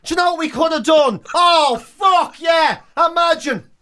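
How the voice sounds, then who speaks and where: High-pitched Irish man, exasperated and sweary. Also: unhurried, sharp tone. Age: middle-aged. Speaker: comedy podcast co-host. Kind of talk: bantering comic rant, casual chat.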